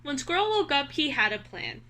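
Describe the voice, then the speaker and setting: Bright, high-voiced woman reading to children. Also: normal speed. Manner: expressive, clearly addressing young children. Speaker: read-aloud host. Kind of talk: children's story read-aloud with questions to listeners.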